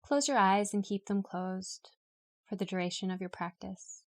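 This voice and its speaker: A woman reading a guided yoga nidra meditation in a calm, unhurried, instructional way. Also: medium pitch. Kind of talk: guided meditation, read from a script.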